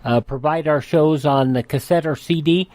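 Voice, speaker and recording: Higher-pitched male radio host, dry sound. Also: moderate pace, darkish tone, no echo. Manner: hesitant, stumbling when unscripted. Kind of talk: conversational radio hosting.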